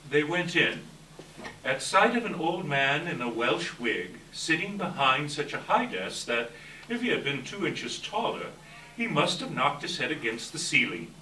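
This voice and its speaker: Animated male storyteller in an echoey room. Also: a little high, unhurried. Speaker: narrator in a readers theater. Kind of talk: dramatic scripted storytelling, live stage reading. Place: live event room, distant microphone. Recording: echoey hall from far off, faint noise.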